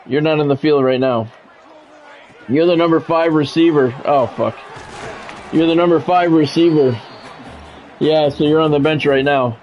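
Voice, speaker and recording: Male game streamer, slightly high voice, sports play-by-play with casual asides, slightly muffled audio over music. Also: normal speed, long pauses. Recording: dull lo-fi audio, music behind.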